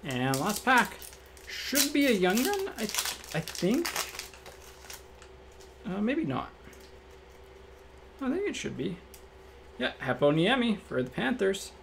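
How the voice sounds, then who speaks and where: Slightly raspy man calling out cards. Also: high voice, slow, long pauses, background noise. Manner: relaxed, matter-of-fact, thinks aloud. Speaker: card break host. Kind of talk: casual card-opening commentary. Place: indoor room at a card table.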